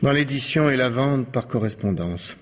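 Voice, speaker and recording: An actor playing an uneasy, weary, guarded publisher and husband in a French radio mystery drama. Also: male voice, mid-range voice, lively intonation, normal speed, natural voice. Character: married male mail-order publisher, uneasy, weary, evasive.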